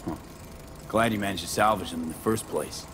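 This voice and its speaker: An actor playing a laconic, cool-headed streetwise cyberpunk mercenary in a video game, speaking casually and matter-of-factly. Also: male voice, deep voice, fairly quick, background noise, lightly acted. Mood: cool, pondering. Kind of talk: video game dramatic acting.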